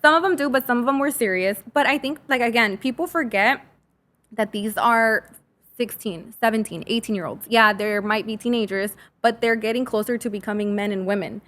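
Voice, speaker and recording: Candid American woman, higher voice. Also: fairly quick, fairly bright tone. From San Fernando area, California. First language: Spanish. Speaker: high school teacher, podcast guest. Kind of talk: casual conversational interview.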